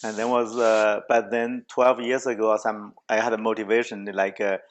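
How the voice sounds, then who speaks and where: Man, podcast host, casual chat, halting speech, restarts a lot. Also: on the low side, flat delivery. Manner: halting, restarts often, frequent "yeah, yeah". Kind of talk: casual conversation.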